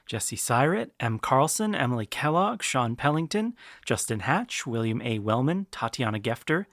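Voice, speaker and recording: A man's voice: mid-range voice, a bit bright, no echo, studio quality. A man, a podcast creator, reading announcements in a casual way.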